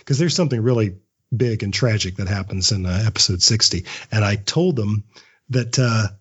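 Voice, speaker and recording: A man's voice: lowish voice, moderate pace, slightly rough, lo-fi sound. A man giving casual, reflective interview answers as a guest writer, often repeating words as he thinks. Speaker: podcast guest, audio drama writer; script writing teacher.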